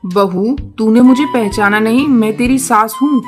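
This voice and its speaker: A woman's voice: a little high, unhurried, over music, voice pushed down, close to an everyday voice. A woman voicing a demanding, scolding older mother-in-law bird in a cartoon, nagging and insistent. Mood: demanding, offended. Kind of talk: Hindi cartoon story dialogue.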